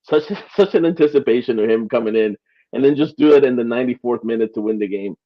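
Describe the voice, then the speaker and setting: Man from Peru in halting sports chat. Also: medium pitch. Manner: halting, restarts often, laughs between points. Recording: slightly dull lo-fi audio.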